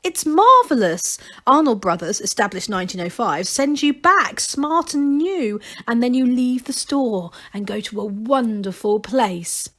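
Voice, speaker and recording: A woman's voice: a little high, animated, a little slow, few pauses, fairly bright tone. A woman voicing a devout, easily upset male gnome priest in a storybook, pleading and flustered. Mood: awed, fervent.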